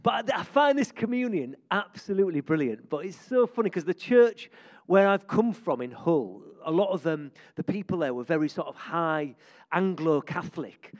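A man's voice: on the high side, moderate pace. A man, a vicar, telling funny stories in an interview in church. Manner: jokey, animated storyteller. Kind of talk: church interview, comic storytelling.

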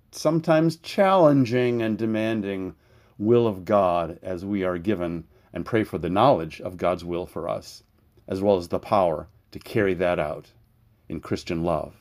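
A man giving a sermon as pastor, preaching in an earnest, measured way and reading scripture aloud. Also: lowish voice, a little mellow.

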